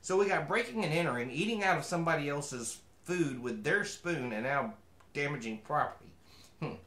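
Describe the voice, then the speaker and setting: A man's voice: fairly high pitch, lively intonation, moderate pace, fairly bright tone, echoey room. A man voicing a chatty, opinionated mule who reads a storybook with folksy, disapproving asides. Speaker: host reading a storybook. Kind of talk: animated storybook reading with comic commentary.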